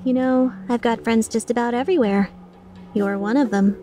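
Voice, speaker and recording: A woman's voice: fairly high pitch, steady tone, moderate pace, warm tone, a little hiss or hum, natural voice. A woman voicing a playful, taunting young mercenary villain in a game fan dub, sardonic and mock-sincere. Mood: gleeful taunting.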